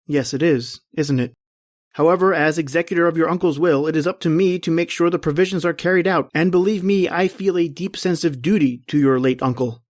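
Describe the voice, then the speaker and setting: Radio drama executor male actor: stiffly formal, deliberate, dutiful gentleman, very little room sound. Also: on the high side, no room sound, clean studio sound, lightly acted. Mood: formal, measured. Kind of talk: old-time radio drama acting.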